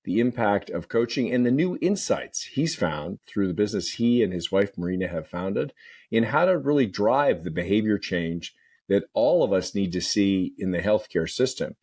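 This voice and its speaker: A man's voice: on the low side, a little mellow. A man hosting a healthcare podcast, talking in a conversational way that often stalls on repeated words and restarts. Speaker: podcast host. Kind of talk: conversational interview.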